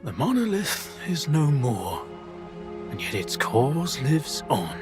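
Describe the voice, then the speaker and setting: An actor playing a philosophical older doctor in a video game, speaking in a measured, solemn, cryptic way. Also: male voice, medium pitch, wildly swooping pitch, over music. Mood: solemn, musing. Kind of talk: dramatic video game cutscene acting.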